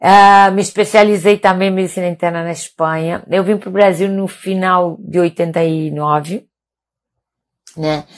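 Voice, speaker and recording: A woman in her fifties with a fairly low voice and a Spanish accent, native in Catalan and Castilian. She chats casually to viewers on a live stream, hesitating as she goes and often adding little tag questions to check they are following. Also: fairly even intonation, normal speed. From Mallorca, Spain. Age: 50s. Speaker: doctor hosting a live stream.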